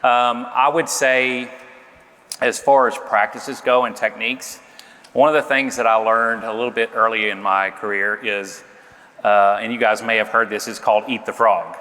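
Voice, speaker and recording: Bright-voiced man with an Arkansas accent. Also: lowish voice, fairly even intonation, moderate pace. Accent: Southern US, Arkansas. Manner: easygoing, self-deprecating, many fillers. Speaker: panelist, sales manager. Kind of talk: conference panel remarks. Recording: reverberant conference hall.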